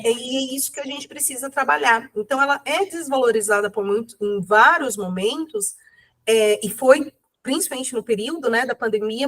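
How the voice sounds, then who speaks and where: High-voiced rambling woman. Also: a bit bright. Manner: rambling, many fillers and self-corrections. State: has a cold. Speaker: interviewee. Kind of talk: casual interview talk.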